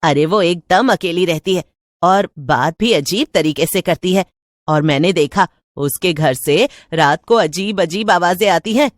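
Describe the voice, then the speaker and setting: A woman voicing a nosy, excitable housewife who chats and pries in a cartoon story. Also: lowish voice, unhurried, dry recording, studio quality, lightly acted. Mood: gossipy. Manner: eager, prying, chatty.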